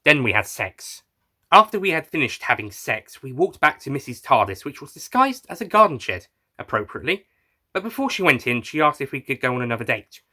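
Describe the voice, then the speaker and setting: A man narrates a first-person tale in a bright, forceful voice. He sits at an average pitch and reads from a script as a storyteller. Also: big pitch swings, fairly quick. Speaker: writer and narrator reading own fan fiction.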